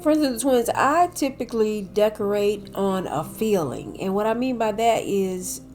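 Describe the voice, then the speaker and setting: A woman's voice: mid-range voice, animated, unhurried, a little mellow, soft background music. An older woman, slightly nasal from a cold, chatting casually in a home decor voice-over. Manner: chatty, addresses viewers as y'all.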